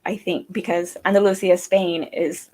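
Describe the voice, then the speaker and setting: A woman's voice: on the low side, level tone, moderate pace, dark tone. A woman guesting on a whiskey livestream, chatting casually in a giggly, playful way. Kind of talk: casual chat.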